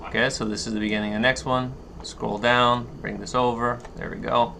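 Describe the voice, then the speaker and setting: A man giving an unscripted software walkthrough, thinking aloud and haltingly explaining each step as he goes. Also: mid-range voice, little pitch movement, creaky. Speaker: tutorial instructor. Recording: noticeable background noise.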